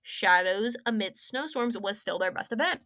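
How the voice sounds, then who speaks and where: A young woman rambling casually through an opinionated game story review, slangy and sarcastic, with animated, exaggerated emphasis. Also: mid-range voice, normal speed.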